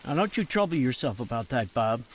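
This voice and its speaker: An older American man, a jailed politician in a game drama. His pitch moves in lively swings. He speaks with wry, fatherly persuasion, coaxing like a practiced schemer. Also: brisk. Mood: persuasive, conspiratorial. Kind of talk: dramatic game cutscene acting.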